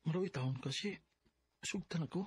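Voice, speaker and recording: An actor playing an earnest, insecure young suitor in a Filipino radio drama, with a husky voice and a Bisaya accent. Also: male voice, a little high, moderate pace. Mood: pleading.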